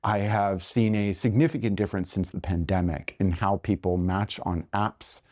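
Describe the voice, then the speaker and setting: A man in his forties, a podcast guest, talking in an articulate, reflective casual chat with sudden lively rises. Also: low voice, moderate pace. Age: 40s.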